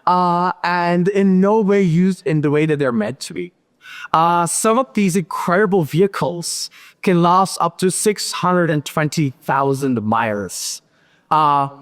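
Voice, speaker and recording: A man in his thirties giving a lively, joking tech conference talk from a large hall stage. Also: high-pitched, clean tone. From Pakistan and Switzerland. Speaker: conference speaker. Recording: hall reverb.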